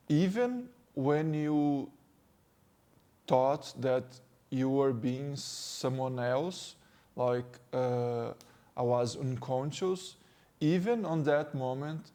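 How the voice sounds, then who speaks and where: A man from Brazil with a Brazilian Portuguese accent, speaking English as a second language and giving a calm spiritual talk as a teacher, slow and gentle with pauses. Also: mid-range voice. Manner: slow, gentle, with pauses and non-native phrasing. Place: sitting indoors.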